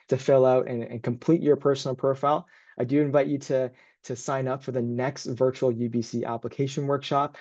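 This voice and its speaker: A man's voice: mid-range voice, warm tone, no echo, dull audio. A man working as a university admissions advisor, hosting and presenting an informal online information session.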